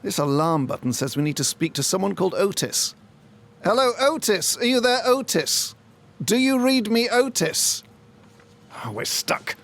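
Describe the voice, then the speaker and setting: Pompous high-pitched detective inspector. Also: male voice, very animated, normal speed, creaky, fairly bright tone, exaggerated voice. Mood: despairing. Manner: pompous, theatrical, florid speech. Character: pompous, melodramatic male detective inspector with grandiose self-regard. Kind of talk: comic audio drama acting.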